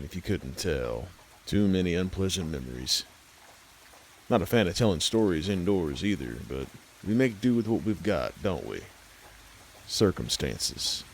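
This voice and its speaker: A man narrating a horror story and talking to his listener in a folksy, unhurried way. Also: low-pitched, a little mellow, a little hiss or hum. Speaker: storyteller host.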